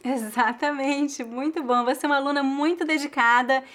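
A woman's voice: high voice, fairly even intonation, normal speed, a bit bright. A Brazilian woman hosting an interview with a student, enthusiastic and encouraging. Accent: Brazilian Portuguese. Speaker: host, Portuguese teacher.

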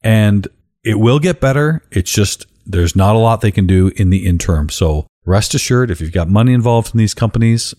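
Deep-voiced, animated male podcast host. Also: normal speed. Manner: joking, blunt, swears casually. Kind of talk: casual podcast chat.

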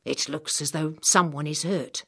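A woman reader plays an old storybook apothecary, a gruff and knowing man. The speech is measured and a little lecturing, low and husky. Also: fairly even intonation, pushed and bright, full character voice. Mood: dismissive.

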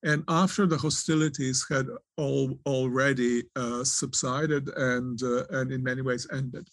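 A man, giving thoughtful, halting answers in an interview, often restarting his phrases as he searches for words. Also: medium pitch, fairly even intonation, a bit bright, no room sound, studio quality. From Croatia. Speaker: author and theologian, interview guest.

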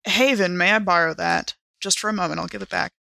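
A woman's voice: fairly low pitch, steady tone, quick pace, creaky, pushed and bright, dry recording. A woman playing a tabletop roleplaying game in character, with formal phrasing broken by hesitations.

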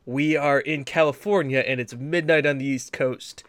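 A man's voice: medium pitch, fairly even intonation, moderate pace, a bit bright, dry recording. A man from New England co-hosting a gaming podcast, chatting casually in long, rambling, joking rants. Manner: rambling, rant-prone, joking. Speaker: podcast co-host. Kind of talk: casual chat.

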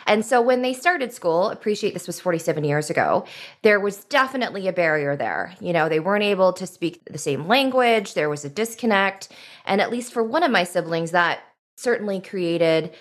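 Female podcast host, slightly low voice, reflective and conversational. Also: lively intonation, normal speed. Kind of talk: conversational interviewing.

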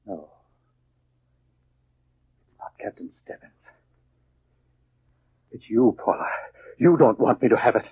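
Desperate wife killer, vintage radio melodrama; rough-edged, halting, repetitive, very narrow tinny sound. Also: male voice, medium pitch, lively intonation, dry recording, full character voice. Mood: furious, menacing. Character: desperate, guilt-crazed husband turned wife killer. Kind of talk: 1940s radio acting.

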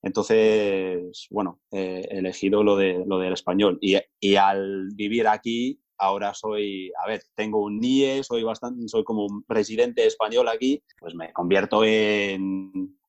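A young Englishman with a Spain-Spanish accent, a native English speaker, chats as a podcast guest, rambling and self-correcting as he goes. His voice is on the low side, and the sound comes through slightly dull and lo-fi. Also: steady tone, measured pace, few pauses. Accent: Spain Spanish, learned as English speaker. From England. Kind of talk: casual chat.

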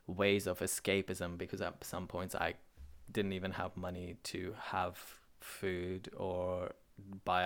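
A man's voice: low voice, fairly bright tone. A man whose first language is Urdu, hosting a podcast and talking candidly in a rambling, emotional personal monologue. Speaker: podcast host. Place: London.

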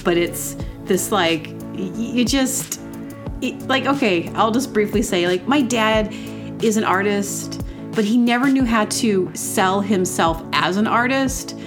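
A woman's voice: medium pitch, unhurried. A woman hosting a podcast, talking casually and rambling with lots of fillers and restarts. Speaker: podcast host. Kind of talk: casual personal monologue. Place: temporary home setup. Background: music.

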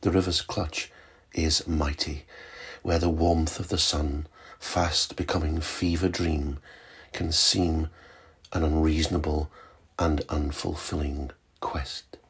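Deep, gravelly man reciting poetry. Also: little pitch movement. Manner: slow, measured, dramatic recital. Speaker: library service manager. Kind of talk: poetry reading.

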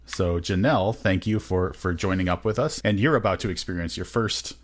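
A man's voice: deep voice, fairly quick. A man co-hosting a book podcast, talking casually with many fillers and hesitations. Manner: hesitant, filler-heavy, enthusiastic. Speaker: podcast co-host.

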